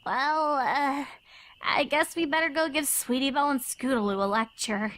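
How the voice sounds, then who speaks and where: A woman's voice: sits high, moderate pace, fairly bright tone, dry recording. A woman voicing a serious, studious young female cartoon unicorn pony who explains things earnestly, in a dramatised fan fiction reading. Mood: uncertain. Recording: music under the voice.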